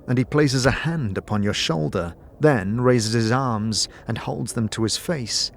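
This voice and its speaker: A man's voice: medium pitch, a little slow, creaky, a little hiss or hum. A man reading scripted second-person story narration for a fantasy audio drama. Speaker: narrator and game master voicing all parts.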